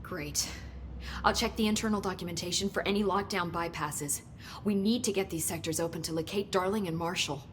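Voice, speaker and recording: An actress playing an earnest, eager researcher at a secretive agency in a video game, speaking a little haltingly. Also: female voice, on the low side, a little hiss or hum, close to an everyday voice. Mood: businesslike. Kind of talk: video game cutscene dialogue.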